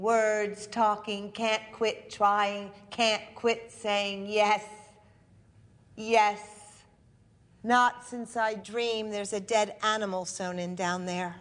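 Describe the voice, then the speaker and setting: A woman performing a stage monologue as a grieving young village woman who survived war, speaking in slow, halting, incantatory lines. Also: medium pitch, fairly bright tone, pitched above the performer's own voice. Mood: haunted.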